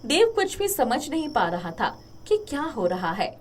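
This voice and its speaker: A woman reads a moral tale as its narrator in a scripted storytelling style. The recording has clear noise, with birds chirping behind her. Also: fairly high pitch, sing-song, moderate pace.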